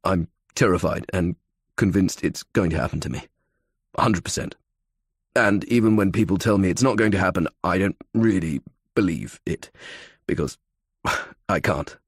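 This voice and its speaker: A man's voice: sits low, moderate pace, long pauses, slightly rough, no echo, studio quality. A man voicing an anxious young male athlete in an audiobook, explaining his fears earnestly and fretfully. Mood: anxious, confiding.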